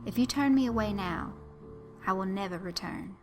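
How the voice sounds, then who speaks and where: A woman voicing a short, cunning male Southern dandy with a Southern accent and a flowery, drawn-out way of stressing his words. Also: medium pitch, soft background music, lightly acted. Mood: genteel, menacing. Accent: American Southern. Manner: ornate, drawn-out emphasis.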